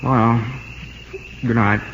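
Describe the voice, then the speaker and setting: An actor playing a shy, modest young country ballplayer who stammers boyishly in a 1950s radio drama. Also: male voice, mid-range voice, steady tone, extremely slow, a bit bright. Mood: bashful. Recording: muffled, noisy old radio recording.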